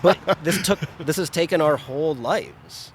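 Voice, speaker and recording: A man's voice: a little high, moderate pace, a crowd in the background. A middle-aged man from Arizona, a chef, talking casually on a podcast in a blunt, wry way and swearing freely.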